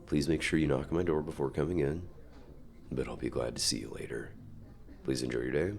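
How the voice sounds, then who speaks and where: A man playing a suave, dominant company CEO in an ASMR roleplay, speaking to the listener with measured, polite, quietly controlling reassurance and deliberate pauses. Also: a bass voice, steady tone, vocal fry. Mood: courteous, inviting. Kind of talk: ASMR roleplay acting, addressing the listener directly.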